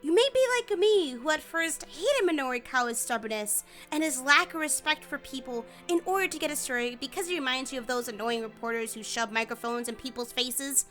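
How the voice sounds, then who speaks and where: A young American woman with a high, bright voice reviews a video game. She is emphatic and sarcastic, swearing casually as she reads her script, with light music behind her. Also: brisk, hardly any pauses. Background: background music.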